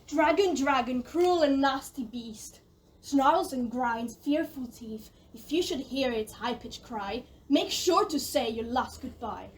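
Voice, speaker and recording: A vain young village maiden for an audio play. Her voice is extremely high and theatrical, given to swooning, and sits back from the microphone. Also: lively intonation, slow pace, slight background noise. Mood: fearful. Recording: far from the mic.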